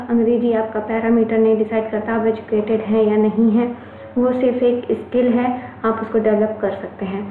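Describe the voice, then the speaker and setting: A woman with an Indian accent giving a chatty online English lecture, repeating key points to reassure her students. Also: on the high side. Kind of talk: Hindi-English mixed classroom lecture. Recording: echoey room, tinny phone-like sound, faint noise.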